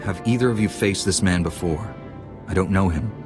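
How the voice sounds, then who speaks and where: Low-pitched, steady young knight played by a man. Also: normal speed, close to an everyday voice. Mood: earnest, serious. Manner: calm, earnest, dutiful. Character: earnest, steady young male Jedi knight. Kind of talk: video game character acting. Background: music.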